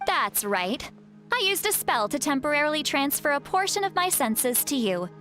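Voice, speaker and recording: Old lady shaman for a game but sounds young, highish voice, chatty and kindly, faint music behind. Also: sing-song, normal speed. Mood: confident. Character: kindly elderly female shaman who strives to sound youthful. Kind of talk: video game voice acting.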